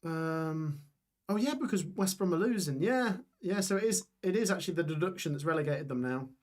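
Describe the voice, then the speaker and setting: A young man hosting a live football watch-along stream, reading out scores and chatting with viewers while his voice gets hoarse. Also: fairly high pitch, expressive, fairly quick, a little mellow. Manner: chatty, reads scores aloud, reacts to chat. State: voice going hoarse.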